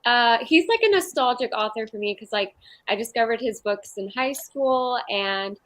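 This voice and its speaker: A woman's voice: fairly high pitch, expressive, normal speed, fairly bright tone. A woman from the United States chatting casually in an enthusiastic manner. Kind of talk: casual chat.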